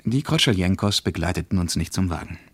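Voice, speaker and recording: Deep male narrator, reflective, measured storytelling for a radio play, dry studio sound. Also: animated, slightly rough, no echo. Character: reflective West German man recounting a journey through Russia. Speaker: narrator of a radio travel account.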